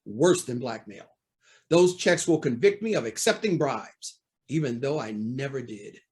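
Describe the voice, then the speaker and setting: An actor playing a proud, anxious, cornered judge in an old-time radio drama, speaking in a formal, indignant, theatrical way. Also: male voice, medium pitch, expressive, measured pace, darkish tone, close to an everyday voice. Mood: bitter, defensive.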